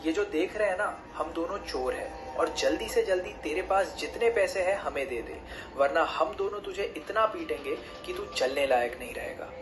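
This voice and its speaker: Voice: medium pitch, moderate pace, over music, natural voice. An actor voicing a menacing, mocking robber in a cartoon story, taunting and threatening. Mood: mocking threat. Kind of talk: Hindi cartoon story voice acting.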